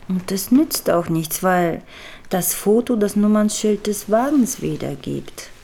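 An actress playing an investigator's calm, sceptical woman partner in a German radio drama, questioning things logically. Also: medium pitch, unhurried, creaky, slightly rough, exaggerated voice.

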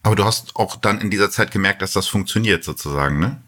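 A man's voice: lowish voice, fast talker, pushed and bright. A man from rural Germany co-hosting a podcast in a conversational style, presenting and asking questions. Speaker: podcast co-host.

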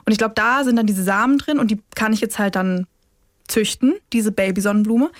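A woman's voice: mid-range voice, a little fast, no room sound, studio quality. A young woman speaking in a chatty, informal way as a podcast co-host, dropping in English slang. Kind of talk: casual banter between co-hosts.

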